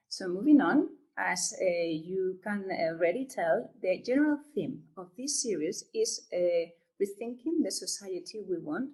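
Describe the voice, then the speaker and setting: A woman from Spain with a Spanish accent, hosting an online event over a video call, talking haltingly with many fillers and asking "okay?" as she goes. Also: mid-range voice, darkish tone, poor audio. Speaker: event host. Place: home or office room.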